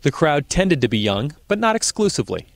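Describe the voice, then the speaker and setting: A man's voice: on the high side, vocal fry. Man reading a news report. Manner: measured, even reporting. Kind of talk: scripted news narration.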